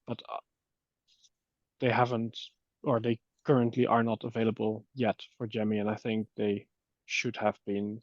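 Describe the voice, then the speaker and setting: A man talking through an issue in a work meeting on an online call, with halting, hesitant phrasing. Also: fairly low pitch, a little mellow, dry recording. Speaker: committee member in a technical meeting. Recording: muffled, dull call audio.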